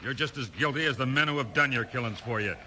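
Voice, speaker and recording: A man's voice: mid-range voice, quick pace, sharp tone. An actor playing a defiant, repentant outlaw gunman in a 1950s radio western. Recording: faintly noisy old radio recording.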